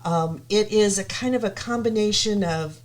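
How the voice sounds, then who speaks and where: A woman's voice: medium pitch, normal speed. A woman from the United States, chatting as a guest on a live show, hesitant with many ums but enthusiastic. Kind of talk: casual chat.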